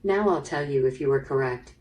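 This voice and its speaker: A woman's voice: rumbling low, fairly quick, lo-fi sound. A woman hosting an interactive story game in a scripted, question-and-answer style. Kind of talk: scripted narration, quiz hosting. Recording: distant, played through a small speaker.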